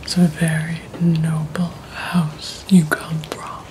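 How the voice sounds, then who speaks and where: A young woman playing a polite, poised vampire receptionist at a blood bank in a soft, breathy, deliberate ASMR roleplay. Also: low voice, little pitch movement, noisy background. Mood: polite, businesslike. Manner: slow, deliberate, hushed, formal.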